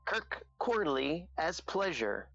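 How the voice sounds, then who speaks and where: Woman reading credits, slow n gravelly, slightly muffled recording. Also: low-pitched, sing-song, fairly bright tone, faint music behind. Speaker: announcer reading cast credits. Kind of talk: scripted credits announcement.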